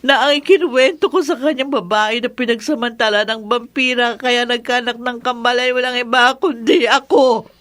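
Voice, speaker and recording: A woman's voice: on the high side, fairly even intonation, moderate pace, fairly bright tone, close to an everyday voice. A woman playing an anguished, guilt-ridden mother in a melodramatic horror radio drama, tearful and pleading. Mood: tearful remorse.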